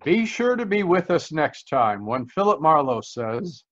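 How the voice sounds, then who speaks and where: Guy doing old radio show announcer, voice a bit high, slightly muffled recording. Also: sing-song, unhurried, fairly bright tone.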